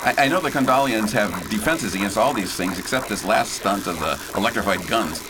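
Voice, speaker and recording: An actor playing a folksy, bold starship pilot in a space opera radio drama, talking in breezy old-fashioned slang and giving orders. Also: male voice, lively intonation, brisk. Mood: puzzled. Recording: clearly noisy, engine rumble behind.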